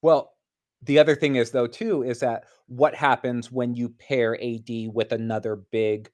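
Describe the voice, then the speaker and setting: A man giving casual basketball analysis and play-by-play, explaining things in a measured, analytical way. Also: mid-range voice, flat delivery, darkish tone. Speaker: basketball commentator.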